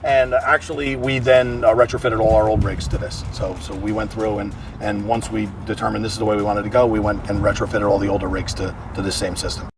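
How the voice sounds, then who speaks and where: A man's voice: on the low side, little pitch movement, fairly quick, hardly any pauses, distant mic, over music. An American man, a fire chief, explaining equipment in a practical, plain-spoken way during a walk-through. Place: outdoors around a fire truck.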